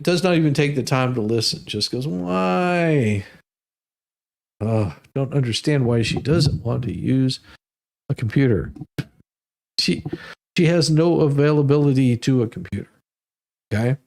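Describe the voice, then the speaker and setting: An American man from the Detroit area hosting with rambling, salty, sardonic casual commentary. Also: medium pitch. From Detroit area, United States. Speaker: host of a humorous letter-reading show.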